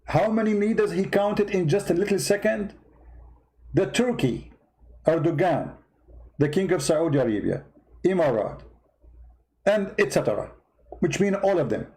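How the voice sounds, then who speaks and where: Confrontational man with a foreign accent, mellow tone. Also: sits high, expressive, moderate pace, long pauses. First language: not English. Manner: confrontational, mocking, repetitive rhetorical questions. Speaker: host commentator. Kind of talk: heated political commentary reacting to clips.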